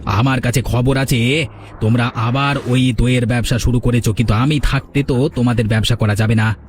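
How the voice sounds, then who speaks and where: Man voicing a blustering village bully, low voice. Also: steady tone, moderate pace, noisy background, close to an everyday voice. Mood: menacing. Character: scheming male village bully, threatening, later grovelling for mercy. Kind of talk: cartoon voice acting in a village drama.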